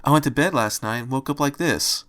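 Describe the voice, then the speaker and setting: A man's voice: medium pitch, a little fast, fairly bright tone, natural voice. A man voicing a timid, anxious female pony turned little rabbit in a storybook, hesitant and stammering. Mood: bewildered.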